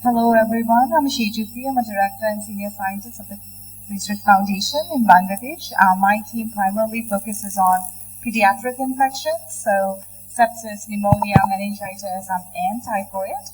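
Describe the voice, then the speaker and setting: A woman's voice: mid-range voice, fairly even intonation, moderate pace, breathy edge. A woman research director introducing her team's work in an organized, listing way. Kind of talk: conference self-introduction. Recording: distant mic in a meeting room.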